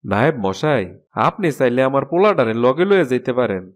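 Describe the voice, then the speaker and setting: A man's voice: medium pitch, sing-song, brisk, lightly acted. A man playing a humble, deferential old village father in a cartoon. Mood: eager, grateful. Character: humble, agreeable village farmer, an elderly father. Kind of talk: cartoon fairy tale dialogue. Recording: background music under the voice.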